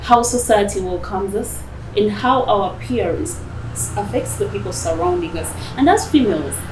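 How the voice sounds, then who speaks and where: A distant, echoing recording with clear background noise carries a woman's voice. She speaks at a medium pitch, presenting as the host of a TV interview show. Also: lively intonation, normal speed. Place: cosmetics shop, indoors. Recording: roomy, distant mic, noisy background ambience.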